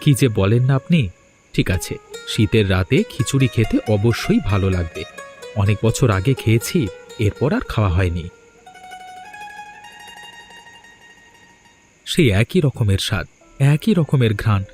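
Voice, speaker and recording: A man's voice: lowish voice, expressive, moderate pace, darkish tone, lightly acted. A man playing an earnest, grateful young man in a moral-tale cartoon, speaking with dramatic emphasis. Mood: wistful, curious. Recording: music behind the voice.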